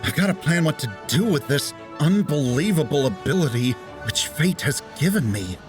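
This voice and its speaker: A man's voice: a little high, lively intonation, moderate pace, over music, close to an everyday voice. A man playing a shy, earnest teenage science student in a comic dub, talking dramatically to himself. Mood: amazed. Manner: earnest, dramatic self-talk.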